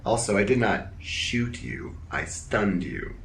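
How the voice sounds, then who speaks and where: A woman playing a stern, menacing soldier in an audio drama, with a deliberate, deadpan delivery. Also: very deep voice, a little hiss or hum.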